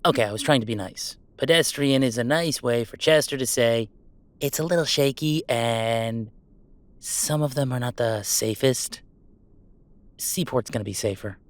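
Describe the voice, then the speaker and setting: A man's voice: medium pitch, normal speed, natural voice. A man playing a chatty, affectionate, well-off gamer in a roleplay audio drama, talking casually and reassuringly in a rambling, wry way. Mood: reassuring, explaining. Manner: casual, rambling, self-interrupting, wryly reassuring. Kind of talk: intimate roleplay audio acting, one-sided conversation.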